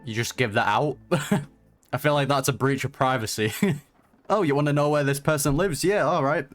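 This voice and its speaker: A man's voice: medium pitch, normal speed. Man, mid-range voice, casual game reaction, slangy and chatty, faint music behind. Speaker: gaming reaction streamer.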